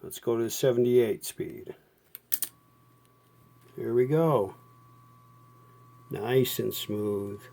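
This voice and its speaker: A man's voice: mid-range voice, extremely slow, vocal fry, pauses often, mellow. A middle-aged man giving a casual hands-on repair tutorial, talking in an informal, folksy way as he works. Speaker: host of a vintage radio repair channel.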